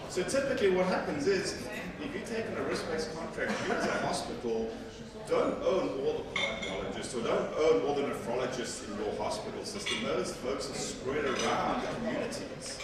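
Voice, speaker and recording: Man talking in an echoey conference hall. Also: mid-range voice. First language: Afrikaans. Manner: conversational, self-correcting with repeated words. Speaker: conference presenter. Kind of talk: technical conference talk. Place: large hall with seated audience. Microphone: handheld mic, filmed from far back. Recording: echoey hall from far off with audience.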